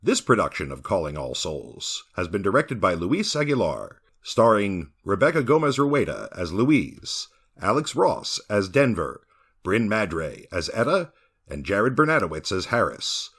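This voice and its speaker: A man's voice: lowish voice, sing-song, measured pace, fairly bright tone, breathy edge. A man reading scripted podcast credits as a theatrical horror-show announcer. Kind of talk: scripted closing credits read.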